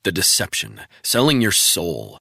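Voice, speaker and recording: Man voicing a grim male agent, terse, clipped, cold, low forceful voice. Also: wildly swooping pitch, a little slow, gravelly, husky, no echo, clean studio sound, full character voice.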